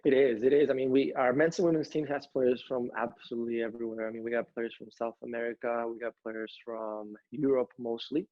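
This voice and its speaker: A young man from Ecuador talks earnestly from home, his sentences full of fillers and restarts. His delivery stays level and flat. The audio is dry and a little dull, like a home video call. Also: mid-range voice, a little fast, few pauses, no echo. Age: young adult. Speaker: college tennis coach. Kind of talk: interview over video call.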